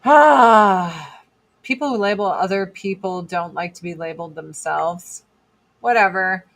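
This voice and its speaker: A woman in her forties with a deep voice, chatting bluntly and profanely on a casual livestream while doing her makeup. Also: measured pace. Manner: blunt, profane, sarcastic, reads chat comments aloud. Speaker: livestream host.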